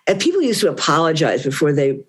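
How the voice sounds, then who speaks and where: A woman's voice: sits low, sing-song, quick pace, husky. An older woman, a guest on a podcast, recalling the past in a rambling, conversational way. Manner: warm rambling recollection with many "you know"s. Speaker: interview guest. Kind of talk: reminiscing conversation.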